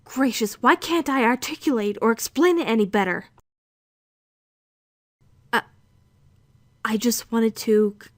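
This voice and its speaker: A woman's voice: fairly high pitch, no echo, clean studio sound. A woman playing an anxious young female trainee who stammers and protests in a visual novel fan dub. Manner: stammering, hesitant, indignant.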